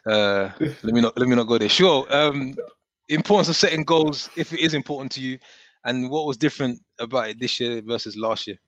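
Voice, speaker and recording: A man's voice: mid-range voice, brisk, a bit bright, no echo, muffled recording. A man hosts a panel chat, throwing out questions as they come to mind and repeating answers back to check them. His voice sounds dry and close, with no room around it. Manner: moderating, fires off questions, rephrases answers back. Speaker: host. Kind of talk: casual discussion.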